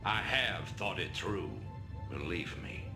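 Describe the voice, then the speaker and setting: An actor playing a cold, ambitious rebel commander in a video game, speaking in a measured, imperious manner. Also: male voice, low voice, lively intonation, over music. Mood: stern command. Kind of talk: dramatic video game voice acting.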